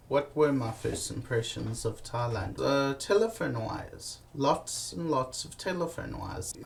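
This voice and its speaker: A man telling comic stories about his travels, animated and profane, with many fillers and restarts. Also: a little high, vocal fry, slight background noise. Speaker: comedic storyteller.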